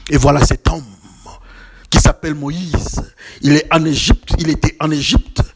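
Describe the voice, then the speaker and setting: A man's voice: on the high side, level tone, a little slow, noisy background. A man preaching a sermon with emphatic, building repetitions and rhetorical questions, slightly congested from a cold.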